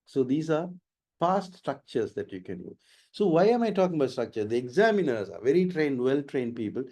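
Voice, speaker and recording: A man's voice: mid-range voice, very animated, brisk, darkish tone. A middle-aged man with a slight Sri Lankan accent, giving an explanatory IELTS webinar lecture and dropping in Sinhala phrases. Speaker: IELTS trainer.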